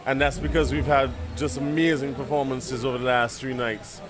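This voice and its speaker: A man answering interview questions as an event chairman, halting and repeating his words. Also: medium pitch, level tone, a crowd in the background. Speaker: festival committee chairman being interviewed. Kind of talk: spontaneous on-location interview. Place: at a music festival at night. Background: live concert music.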